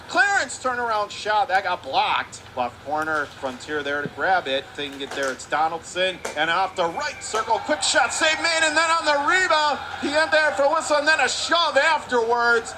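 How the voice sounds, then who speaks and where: A man calling live hockey play-by-play on radio, his calls climbing into shouts when the play heats up. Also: very high-pitched. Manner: rapid calls rising to shouts on big plays. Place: hockey arena broadcast booth. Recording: noisy arena background.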